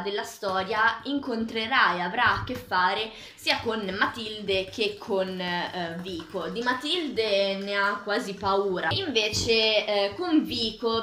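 A woman's voice: medium pitch, sharp tone. A young woman casually reviewing a book to camera in a home kitchen, often searching for her words. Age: young adult, about 21. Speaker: vlogger reviewing a graphic novel. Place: small tiled home kitchen, indoors. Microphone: camera across the table. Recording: echoey tiled kitchen, clear background noise.

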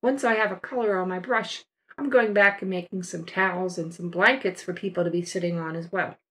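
An older woman narrating a watercolor painting demonstration as a voice-over, explaining each step in a relaxed, unhurried way. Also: lowish voice. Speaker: watercolor art teacher.

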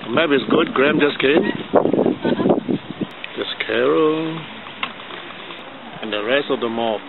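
A man's voice: mid-range voice, takes it slowly, creaky. Man narrating kayak outing, windy lo-fi audio. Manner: names paddlers as they pass. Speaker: kayak club member filming the outing. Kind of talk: casual narration while paddling. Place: outdoors on a kayak on a windy estuary. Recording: tinny lo-fi camera audio with loud wind and water noise.

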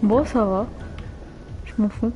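A woman's voice: mid-range voice, slow, muffled recording, loud music behind the voice. A nineteen-year-old woman, chatting playfully with viewers while playing a game live, flustered and teasing. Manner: playful, bantering, easily flustered. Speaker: streamer. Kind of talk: live gameplay chat with viewers.

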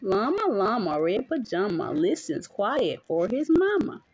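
A woman's voice: mid-range voice, sing-song, a little slow, darkish tone, slightly rough. A mother reading a picture book aloud to her young child in a playful, sing-song way, chatting with the child as she goes. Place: small dim bedroom at home.

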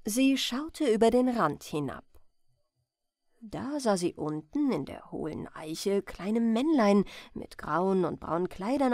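A woman's voice: mid-range voice, a little mellow, no room sound, studio quality. A woman reading a bedtime fairy tale as a scripted narration.

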